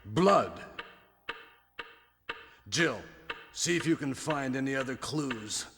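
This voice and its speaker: A man's voice: medium pitch, lively intonation, slow, a little hiss or hum. A man playing a protective veteran police teammate in a 1990s video game, with stilted, over-emphatic delivery. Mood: concerned. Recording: reverberant game audio under loud music.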